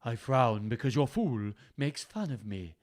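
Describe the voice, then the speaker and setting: A man's voice: medium pitch, sing-song, measured pace. A man voicing a cold, scornful grown daughter in a storybook reading. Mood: scolding.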